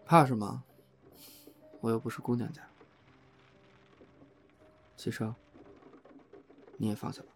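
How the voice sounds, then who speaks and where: A man's voice: mid-range voice, fairly even intonation, measured pace, vocal fry, pauses often. Restrained, matter-of-fact young professional man, polite yet aloof, audio drama with music underneath. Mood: subdued, hurt. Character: reserved young male insurance adjuster, polite but stubbornly aloof.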